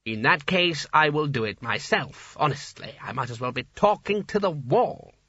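A man voicing a pompous, irritable wizard ringleader in an audiobook, bossing people about briskly and with exasperation. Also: fairly high pitch, lively intonation, bright, forceful tone, breathy edge, no echo, muffled recording, heavily acted. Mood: exasperated.

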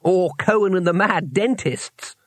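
A man's voice: high-pitched, sing-song, normal speed, no room sound, clean studio sound, voice pushed up, heavily acted. A man voicing an earnest, naive, polite male tourist in an audiobook, cheerful and a little hesitant. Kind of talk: audiobook character voice.

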